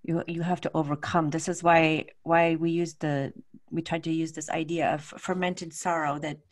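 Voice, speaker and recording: A middle-aged woman with a low voice speaks as a guest lecturer taking questions on a video call. She thinks aloud, often searching for the right word in an informal way. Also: level tone, normal speed. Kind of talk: informal question-and-answer at an online talk. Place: home room with bookshelves, on a video call. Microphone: large desk microphone.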